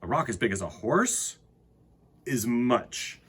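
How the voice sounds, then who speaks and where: A man with a husky voice reading a picture book aloud to children in an expressive way, with dramatic pauses and emphasis. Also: mid-range voice. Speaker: children's storytime host and reader.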